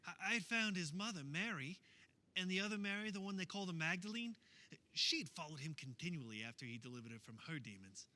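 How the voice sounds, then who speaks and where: An actor playing an anguished male eyewitness disciple of Jesus in a radio theater monologue, telling his story in an emotional, halting way. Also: high-pitched, animated, sharp tone, full character voice. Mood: troubled, recounting. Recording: faint background noise.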